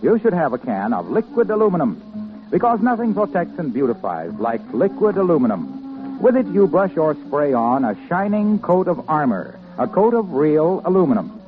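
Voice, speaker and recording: Male 1950s radio announcer; polished sales read, highish animated voice, for a scripted sponsor spot. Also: moderate pace. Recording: tinny 1950s radio recording, music behind. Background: music.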